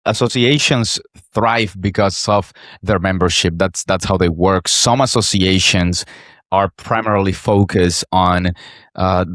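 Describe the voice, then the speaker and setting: A man's voice: low voice, a little slow, fairly bright tone, no room sound. A man hosting an interview podcast, asking questions in a thoughtful, slightly hesitant way. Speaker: podcast host.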